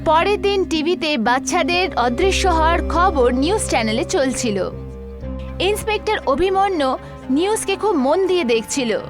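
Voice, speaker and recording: A woman narrating a scripted horror story for an animated tale. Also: extremely high pitch, measured pace, over music.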